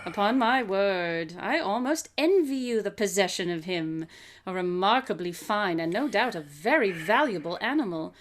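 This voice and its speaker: A woman's voice: mid-range voice, animated, close to an everyday voice. Actress as a composed, shrewd lady detective. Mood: courteous, sly. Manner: formal, measured, authoritative. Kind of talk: live radio drama acting.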